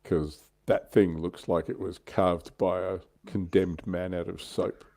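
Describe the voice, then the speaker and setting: A man's voice: low voice, steady tone, a little slow, creaky, darkish tone. A man hosting a livestream in casual hobby chat, with a wry, offhand manner. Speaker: livestream host.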